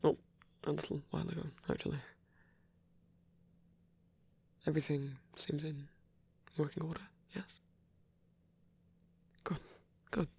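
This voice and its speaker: A man playing a gentle, caring old vampire priest in an intimate ASMR roleplay, speaking in a halting, bashful, breathy whisper. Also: fairly high pitch, level tone. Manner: halting, bashful, softly reassuring.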